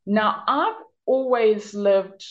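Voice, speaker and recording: A South African woman, a guest in a casual interview, giving earnest, energetic advice. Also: medium pitch, extremely slow, reverberant, dull audio.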